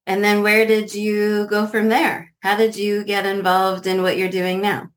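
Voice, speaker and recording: A woman's voice: medium pitch, completely flat delivery, a little slow. A woman with a mid-range voice, speaking as a podcast host. Her delivery is earnest and polite, suited to introductions and interview questions.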